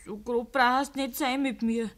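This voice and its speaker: An actress playing a wounded, headstrong young farmer's daughter with a Bavarian accent in a dialect radio play. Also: female voice, a little high, steady tone, unhurried, lightly acted. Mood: hurt, defiant. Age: young adult.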